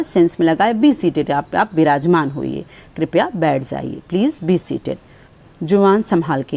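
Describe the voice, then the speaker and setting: A woman with an Indian accent teaches everyday English phrases. Her voice sits low and has a dark, mellow tone. She explains each phrase and then repeats the English line at a slow, careful pace. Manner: explains in Hindi, then says English phrases slowly and clearly. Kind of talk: spoken English lesson.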